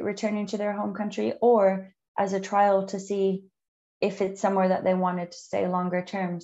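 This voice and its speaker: A woman who is a native English speaker, hosting a conversational interview in a measured, encouraging way with frequent ums. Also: mid-range voice, a little mellow, highs cut off. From outside the UK. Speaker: podcast host.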